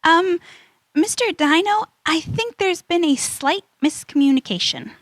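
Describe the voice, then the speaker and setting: A very high, animated young adventurer, played by a woman, earnest and sensible with a readiness to be amazed. The delivery is that of a children's audio drama, recorded dry and close. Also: unhurried, no echo. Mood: earnest, explaining. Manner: earnest, expressive, stammers in disbelief.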